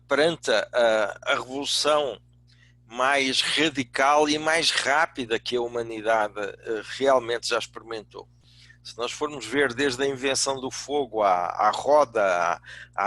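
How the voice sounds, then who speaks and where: An older man with a European Portuguese accent giving a semi-formal prepared talk, courteous, often halting and repeating words. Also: medium pitch, fairly bright tone, no room sound. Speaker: guest speaker, business executive and academic.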